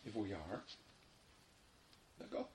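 A man leading a guided meditation in a soothing, instructional manner, repeating short phrases with long pauses. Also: fairly low pitch, huge pitch swings. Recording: roomy echo, faint background noise.

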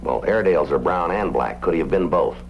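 An actor playing a dry, unflappable police sergeant in an old-time radio drama, speaking in a matter-of-fact, clipped way. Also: male voice, low voice, animated, fast talker, gravelly, a little hiss or hum. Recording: muffled old radio recording with music.

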